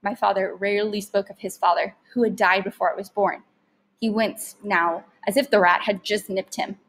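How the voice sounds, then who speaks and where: A woman narrating a story aloud in an expressive style with deliberate, emphatic phrasing. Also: medium pitch, poor audio.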